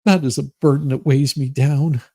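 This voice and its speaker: A man voicing a self-pitying, melodramatic woman in a slow, overwrought, mock-solemn dramatic reading of a grievance essay. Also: mid-range voice, fairly even intonation, warm tone, close to an everyday voice. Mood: solemn, indignant.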